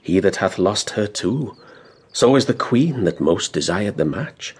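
Husky, animated gossiping courtier. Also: male voice, lowish voice, unhurried, full character voice. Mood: confiding, wry. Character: gossiping male courtier, well-informed, admiring and confiding. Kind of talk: classical Shakespearean radio drama acting.

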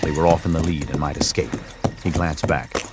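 A man's voice: rumbling low, steady tone, fairly quick. A man reading scripted, dramatic narration for a western audiobook. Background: music and horse hoofbeats.

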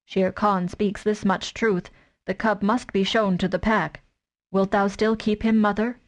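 Woman reader as calm, serious father wolf, measured and even. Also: mid-range voice, close to an everyday voice. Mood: grave. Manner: grave and measured. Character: grave, steady male wolf father.

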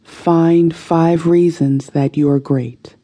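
Slow, very mellow, husky woman meditation narrator. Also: low-pitched, fairly even intonation. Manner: slow, soothing, deliberate delivery with soft phrase endings. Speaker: audiobook narrator.

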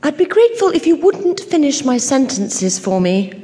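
A woman's voice: high voice, big pitch swings, moderate pace, dark tone, slight background noise. An actress playing a reserved, earnest middle-aged English woman doctor in an audio drama, polite, careful and clipped. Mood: uneasy, guarded. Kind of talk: audio drama stage acting. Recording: reverberant theatre-like hall.

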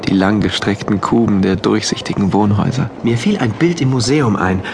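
A man playing an orderly, wonderstruck engineer who narrates his diary of a regimented future in measured, deliberate phrases, in a radio play. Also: lowish voice, gravelly, background noise. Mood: wonder. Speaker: narrator of an audio drama. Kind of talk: literary first-person narration in a radio play. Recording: music behind the voice.

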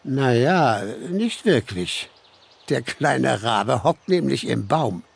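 A man narrating a children's story from a script in an expressive, lively way with dramatic pauses. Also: mid-range voice, creaky, slightly rough.

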